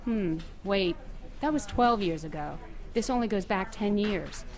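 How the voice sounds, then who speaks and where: A woman's voice: mid-range voice, lively intonation, measured pace, darkish tone. A woman playing a chatty, flirtatious nurse in her fifties, in conversational audio drama dialogue.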